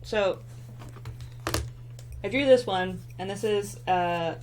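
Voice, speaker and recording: A woman's voice: medium pitch, slow, a little mellow, slight background noise. A young woman hosting an art stream, chatting casually with lots of fillers as she draws. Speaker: artist hosting a drawing stream. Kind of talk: casual chat while drawing.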